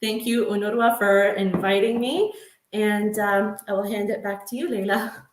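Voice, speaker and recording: A woman's voice: medium pitch, fairly even intonation, normal speed, echoey room. A woman giving a casual home cooking demo, chatty, with frequent ums and light laughs. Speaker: home cook demonstrating a recipe. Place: home kitchen.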